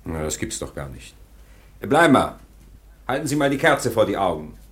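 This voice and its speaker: A brisk police chief giving curt orders. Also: male voice, lowish voice, very animated, fairly bright tone. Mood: commanding. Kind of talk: radio play acting.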